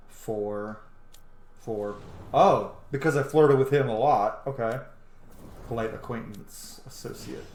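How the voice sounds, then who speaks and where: A man doing casual let's play commentary, informal and sweary, thinking aloud as he plays. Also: medium pitch. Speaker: gaming video host. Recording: echoey room with faint background noise.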